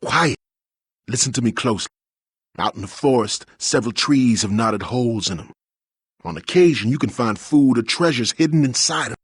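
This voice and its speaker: An actor playing a secretive, cautious townsman in a fantasy game, husky, confiding in urgent colloquial speech. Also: mid-range voice, expressive, normal speed, dry recording, studio quality. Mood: furtive, nervous. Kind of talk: fantasy game character acting.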